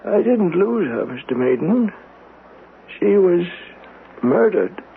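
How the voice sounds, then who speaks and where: High-voiced vengeful very old man. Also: big pitch swings, creaky, full character voice. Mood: grave. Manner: solemn, deliberate, fervent. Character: vengeful, devout man over ninety, haunted by lost love. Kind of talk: radio mystery drama acting. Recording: noisy, tinny old radio broadcast recording.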